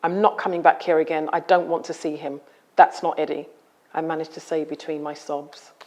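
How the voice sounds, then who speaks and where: A woman author speaking in a measured, articulate and solemn way about personal experience. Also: deep voice, level tone, darkish tone. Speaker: author and guest. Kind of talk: reading a memoir aloud, then interview talk.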